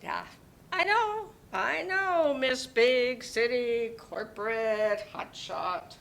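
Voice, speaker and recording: A woman playing an emphatic, chatty, teasing neighbour in a play reading, with drawn-out theatrical emphasis. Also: fairly high pitch, expressive, creaky, fairly bright tone, a little hiss or hum, exaggerated voice. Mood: teasing, friendly.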